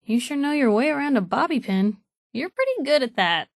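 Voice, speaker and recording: A woman's voice: high voice, lively intonation, brisk, gravelly, no room sound, studio quality. A woman voicing a feisty young female journalist, a wry, wisecracking companion in a video game. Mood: curious, impressed. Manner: wisecracking, sardonic, slangy. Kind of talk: video game voice acting, short combat and banter lines.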